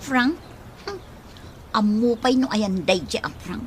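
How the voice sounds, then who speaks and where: A woman with an Ilocano Filipino accent playing a bitter, quick-tempered young pregnant woman in a radio serial, with emotional, accusing delivery. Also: fairly high pitch, animated, slight background noise. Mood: evasive. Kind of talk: radio serial drama acting.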